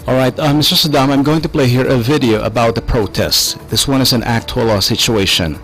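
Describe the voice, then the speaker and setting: A man's voice: mid-range voice, a little fast, fairly bright tone, soft background music. A man hosting a live radio interview, putting questions to a guest in a conversational way and often repeating himself.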